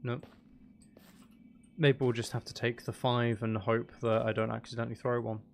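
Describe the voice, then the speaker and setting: A man's voice: medium pitch, level tone, normal speed, gravelly, slight background noise. A man giving casual gameplay commentary, muttering and thinking aloud to himself. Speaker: gaming video host.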